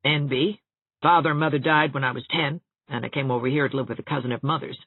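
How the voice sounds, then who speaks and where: A woman's voice: a bass voice, steady tone, fast, husky, dry recording, phone-quality sound, heavily acted. A woman voicing a cheerful, teasing, self-mocking young man in a storybook reading. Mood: wry, chatty.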